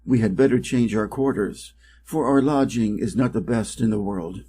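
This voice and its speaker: A man's voice: on the low side, normal speed, mellow, slight background noise, lightly acted. A man with an American accent voicing a friendly, encouraging old donkey in a storybook, hearty and courteous. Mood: reasoning.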